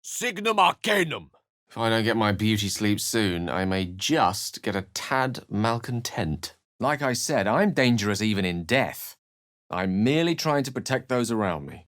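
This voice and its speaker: Erudite game wizard, deep register, dry studio sound, courteous, wry, articulate, theatrical storyteller. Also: male voice, very animated, unhurried, a bit bright, dry recording. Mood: incanting, then earnest. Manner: articulate, theatrical, literary phrasing. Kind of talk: video game voice acting, dialogue and narration.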